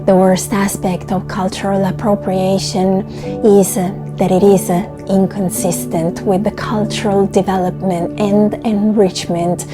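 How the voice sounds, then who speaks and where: Woman, channel host, voice-over narration, unhurried, earnest, middle pitch, music bed. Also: little pitch movement. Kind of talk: voice-over narration of a video essay. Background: music under the voice.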